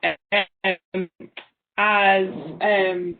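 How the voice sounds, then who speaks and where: A woman's voice: medium pitch, level tone, very slow. Young Scottish woman on a tinny video call. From Isle of Lewis, Scotland. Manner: frequent ums, thoughtful. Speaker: seminar speaker. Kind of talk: online seminar presentation. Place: small room at home. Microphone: laptop webcam on a video call.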